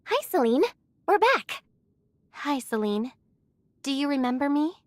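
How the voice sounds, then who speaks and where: A woman voicing a gentle, reassuring young woman with a polite, earnest manner in an English anime game. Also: sits high, very animated, a little slow, no room sound. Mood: emotional. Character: gentle, reassuring young woman returned home after suffering.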